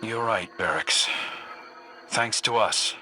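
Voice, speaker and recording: A man's voice: fairly low pitch, takes it slowly, sharp tone, soft background music, heavily acted, low-quality recording. An actor playing a proud male leader in a sci-fi game, speaking dramatically. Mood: proud, hopeful.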